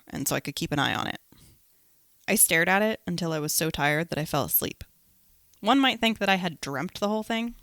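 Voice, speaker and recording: A woman's voice: low-pitched, a bit bright, no echo, clean studio sound. Woman hosting a spooky storytelling podcast. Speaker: podcast host.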